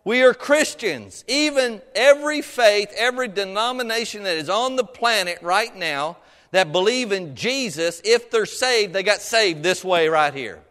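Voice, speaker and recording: High-pitched male preacher in a reverberant hall. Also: animated, a little slow, fairly bright tone. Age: middle-aged. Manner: exhortatory, punctuates points with amen and questions to congregation; folksy, colloquial phrasing. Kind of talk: sermon. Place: preaching to a congregation in a large room. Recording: reverberant hall with long echo.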